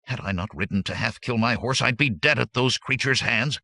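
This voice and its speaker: A man's voice: medium pitch, very animated, measured pace, sharp tone, slightly rough, no echo, clean studio sound, voice pushed up, heavily acted. A man voicing a nervous, cowardly bandit tracker in an audiobook, protesting fearfully. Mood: fearful, fervent. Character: nervous, cowardly, pinch-faced male bandit tracker, defensive.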